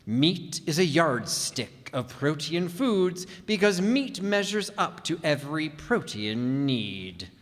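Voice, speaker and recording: A man playing an old-time radio announcer and narrator, speaking in a deliberate, theatrical and emphatic way. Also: fairly high pitch, big pitch swings. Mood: hearty salesmanship. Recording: echoey hall stage performance.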